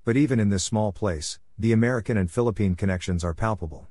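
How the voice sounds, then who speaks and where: Man, low voice, scripted essay narration, opinion column reader, dry close sound. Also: fast, mellow, dry recording.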